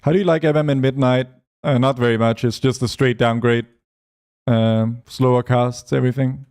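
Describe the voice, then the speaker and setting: Gravelly, mellow-voiced male game streamer. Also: medium pitch, fairly even intonation. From Europe. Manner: reads chat aloud while playing, quick asides. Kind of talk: casual gaming stream chat.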